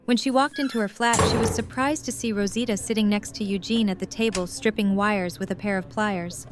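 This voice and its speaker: A woman's voice: mid-range voice, steady tone, brisk, noisy background. A woman narrating a fan audio drama, reading scripted story prose.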